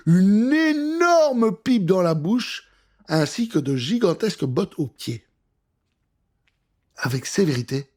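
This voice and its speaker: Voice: medium pitch, darkish tone, slightly rough. A storyteller reading a scripted children's adventure in a theatrical, suspenseful way.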